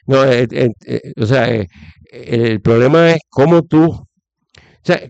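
A man's voice: mid-range voice, slow pace, creaky, darkish tone, no room sound, highs cut off. A middle-aged man with a Puerto Rican accent giving opinionated political commentary in conversation, stressing points by repeating phrases. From Puerto Rico.

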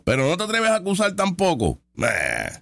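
A man rants sarcastically as he comments live on clips. His pitch sits fairly high and jumps around a lot. The sound is dry and close, with no room around it. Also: huge pitch swings, moderate pace, creaky, a bit bright, no room sound. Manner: sarcastic, heated and argumentative. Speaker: online commentary host.

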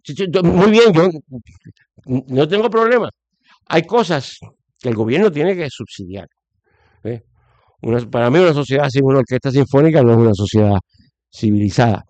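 A Puerto Rican man with a Puerto Rican accent, giving forceful opinions as a guest, with lots of fillers and restarts. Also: medium pitch, animated, moderate pace, pauses often, no room sound. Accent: Puerto Rican Spanish. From Puerto Rico. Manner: emphatic, many fillers and restarts. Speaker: guest commentator. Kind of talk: opinionated conversational commentary.

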